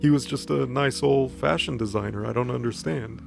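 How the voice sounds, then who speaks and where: Man playing a chummy, creaky demon club owner. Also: medium pitch, moderate pace, soft background music. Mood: puzzled. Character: laid-back, friendly male demon club owner.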